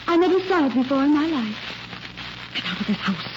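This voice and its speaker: An actress playing a cold, controlling elder sister, curt and commanding, in a 1940s radio drama style. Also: female voice, high-pitched, expressive, fairly quick. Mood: hostile, commanding. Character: stern, controlling older sister, secretive, cold. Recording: noisy, muffled old radio recording.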